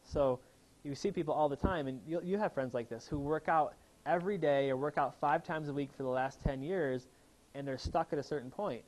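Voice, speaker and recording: Guy running a health seminar, pumped up, keeps quizzing the room, kinda high voice. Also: fairly quick, darkish tone, rough recording. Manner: enthusiastic, asks the audience questions. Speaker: chiropractor giving a health talk. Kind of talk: live seminar talk to an audience. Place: room with a live audience.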